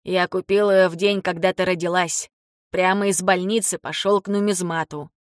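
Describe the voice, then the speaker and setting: Woman voicing a slow, blunt ailing old father. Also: on the low side, fairly even intonation, no echo, clean studio sound, natural voice. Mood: firm, fond. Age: older. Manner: slow, deliberate, halting for words. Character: ailing older father, blunt, teasing, fond of his daughter. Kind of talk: audiobook character dialogue.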